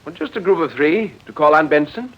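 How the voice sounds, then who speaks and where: A muffled old radio recording features a man acting a formal, evasive leader of a meeting. His voice rides up and down widely, with halting euphemisms in forties drama style. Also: a little high, expressive, pitched above the performer's own voice. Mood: eager, formal.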